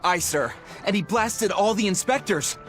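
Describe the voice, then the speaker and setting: A man's voice: sits high, expressive, moderate pace, fairly bright tone. Earnest pirate crewman, music behind. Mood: earnest report. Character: dutiful, earnest pirate crewman reporting to his superior. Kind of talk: English video game voice acting.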